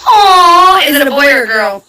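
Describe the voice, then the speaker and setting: A woman's voice: high-pitched, big pitch swings, moderate pace, pushed and bright. A woman, chatting playfully and teasing her conversation partner. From Virginia, United States. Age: 20s. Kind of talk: casual chat. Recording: echoey, distant, busy background. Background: dog barking.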